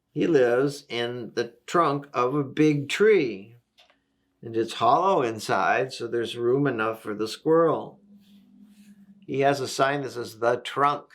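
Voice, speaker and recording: A man reading a picture book aloud to young children, gently explaining things and asking the listener questions. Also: mid-range voice. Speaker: volunteer story reader.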